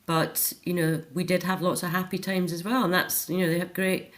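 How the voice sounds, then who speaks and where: A woman's voice: lowish voice, flat delivery, moderate pace. A middle-aged Scottish woman talking earnestly and reflectively as a guest in a personal interview. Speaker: interview guest, author and care home volunteer.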